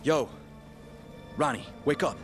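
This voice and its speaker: An actor playing a sardonic, streetwise survivor in a zombie video game, sarcastic and skeptical in manner. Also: male voice, mid-range voice, takes it slowly, faint music behind, lightly acted. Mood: dry, skeptical. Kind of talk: video game character acting.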